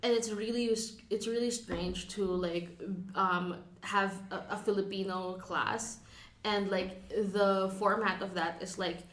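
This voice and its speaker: A woman co-hosting a casual podcast chat, explaining things hesitantly with many pauses and restarts. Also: medium pitch, fairly even intonation, a bit bright. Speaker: podcast co-host. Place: warehouse. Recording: echoey room.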